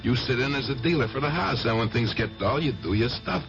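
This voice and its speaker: An actor playing a gruff, blunt, skeptical uncle who runs card games, in a 1970s radio drama. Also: male voice, medium pitch, animated, a little fast, dull audio. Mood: scheming, insistent. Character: gruff, streetwise uncle running a card game. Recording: old radio broadcast, music behind.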